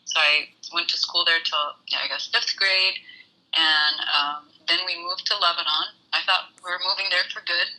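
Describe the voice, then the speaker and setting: A woman in her thirties from Saudi Arabia, an engineer talking through her story in a casual interview, hesitant with many pauses. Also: fairly low pitch, fairly even intonation, bright, forceful tone, rough recording. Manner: thoughtful, hesitant with many ums. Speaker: guest, engineer.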